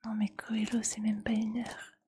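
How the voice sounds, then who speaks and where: A woman speaking in a breathy ASMR whisper, chatting casually and with gentle teasing like a streamer talking to viewers. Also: a little high, completely flat delivery, normal speed, slight background noise.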